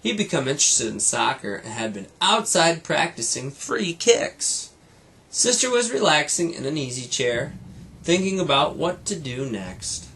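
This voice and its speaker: Animated man reading a storybook aloud. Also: on the high side, unhurried, breathy edge. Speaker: teacher reading aloud to students. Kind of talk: children's storybook read-aloud with casual asides.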